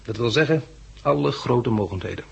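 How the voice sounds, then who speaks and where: An actor playing a calm, protective male survivor of a catastrophe in a 1960s Dutch radio drama. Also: mid-range voice, fairly quick, creaky, slightly rough, dull audio, full character voice. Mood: grave, explaining. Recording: faintly noisy 1960s radio recording.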